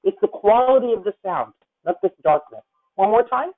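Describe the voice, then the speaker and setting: A woman in her fifties leading a voice masterclass, talking animatedly with halting restarts and breaking into sung demonstration phrases. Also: medium pitch, expressive. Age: 50s. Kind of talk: coaching a singer in a masterclass. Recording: tinny phone-like video call audio.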